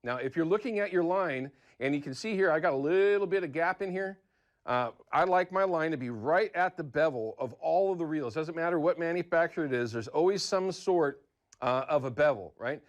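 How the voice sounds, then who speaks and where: A man's voice: fairly high pitch, animated, a little fast, highs cut off. An American man hosting a casual how-to TV segment in a chatty, folksy, conversational way. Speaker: TV show host.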